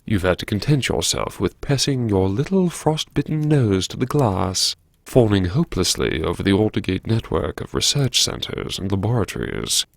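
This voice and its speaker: A man performs a wry university grandee narrating an audio memoir. The voice is gravelly and swoops theatrically, lingering sardonically over words. It is recorded dry and close, with a slow, deliberate delivery. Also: on the low side, sing-song, no echo, exaggerated voice. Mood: wry, relishing. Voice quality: gravelly, husky. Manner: arch, sardonic, savouring words with dramatic pauses. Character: wry, theatrical, self-mocking university vice-chancellor narrating his haunted memoirs. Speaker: narrator of a serialised audio story.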